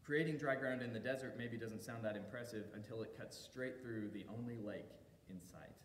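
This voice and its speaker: Man preaching in an echoey hall. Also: moderate pace. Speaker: pastor. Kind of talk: sermon. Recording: echoey hall reverb, faint low rumble.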